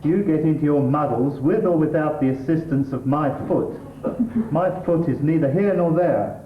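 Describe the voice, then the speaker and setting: Sardonic middle-class English husband played by a man, lightish pitch, exasperated, theatrical; tinny, distant stage recording. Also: moderate pace, roomy sound, a little hiss or hum, close to an everyday voice. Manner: exasperated, pedantic, dry sarcasm. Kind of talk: theatrical stage comedy acting.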